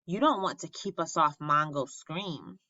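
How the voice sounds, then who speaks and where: A stern, protective schoolgirl big sister, as a woman reader plays her. She speaks firmly and deliberately in a bright storybook voice, a touch bossy. The sound is slightly muffled. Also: deep voice, no echo, voice pushed down, exaggerated voice.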